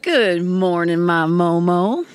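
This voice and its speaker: A woman's voice: on the low side, animated, slow pace, clean tone. A woman acting in a radio comedy as a wry, folksy small-town woman who is restless to get away. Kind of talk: radio play comedy dialogue.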